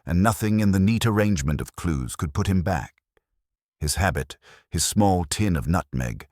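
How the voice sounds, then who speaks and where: Very deep-voiced male story narrator. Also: no room sound, studio quality. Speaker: audiobook narrator. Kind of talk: first-person detective story narration.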